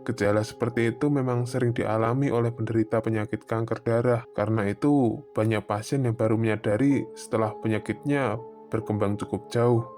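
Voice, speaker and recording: Man acting calm doctor over music. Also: medium pitch, brisk, close to an everyday voice. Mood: calm, sympathetic. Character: calm, reassuring male doctor, formal and patient. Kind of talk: animated horror drama dialogue. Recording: voice over prominent background music.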